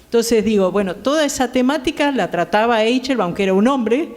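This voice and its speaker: A woman's voice: a little high, expressive, brisk, a little mellow. A middle-aged woman with an Argentine accent, talking conversationally as a guest on a theatre panel. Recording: reverberant theatre hall.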